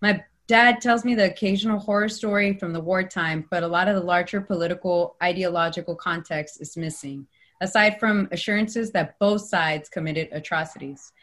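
A woman with a fairly low voice moderates a Q&A session. She reads out audience questions aloud, steady and plain, pausing on "um" between phrases. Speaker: event moderator.

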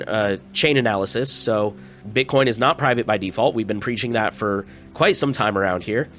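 A man's voice: mid-range voice, fairly bright tone. A man hosting a news podcast, a little scratchy and stuffy-nosed from a cold, reading stories with casual asides. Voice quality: slightly scratchy. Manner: plain, conversational, with asides. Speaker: podcast host.

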